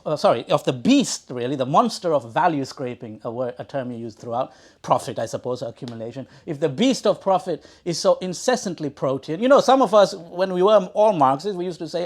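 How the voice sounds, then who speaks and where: A man from Sri Lanka hosting a book talk, speaking in an erudite, wordy way with halting restarts and repeated words. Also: a little high. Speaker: event host introducing an author. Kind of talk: academic introductory remarks at a live book talk. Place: talk in a bookshop before an audience.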